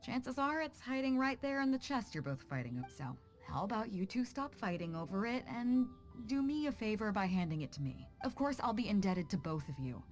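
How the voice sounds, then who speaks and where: Bold, animated woman sea captain played by an actress. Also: mid-range voice, fairly quick, hardly any pauses, faint music behind, lightly acted. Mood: frank, decisive. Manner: confident, plain-spoken. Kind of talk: video game voice acting.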